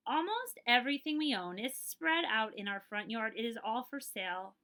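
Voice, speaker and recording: A woman reading a children's picture book aloud as a librarian, in an expressive, measured storytelling way. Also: a little high.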